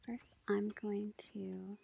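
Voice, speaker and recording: Slow, very creaky, whispery woman. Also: mid-range voice, flat delivery. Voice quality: breathy, whispery, with creaky fry. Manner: unhurried, hesitant, casual and gentle. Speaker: ASMR roleplay performer as a tarot-reading witch girlfriend. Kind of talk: soft-spoken, half-whispered ASMR roleplay. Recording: lo-fi with faint background noise. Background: faint forest ambience with bird song.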